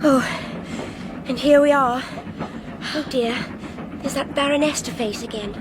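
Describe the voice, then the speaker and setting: A rather high young English woman's voice, well-bred and polite. She speaks with reserve in radio drama style, with faint train noise behind her. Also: unhurried. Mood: weary. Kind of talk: radio drama dialogue and first-person narration.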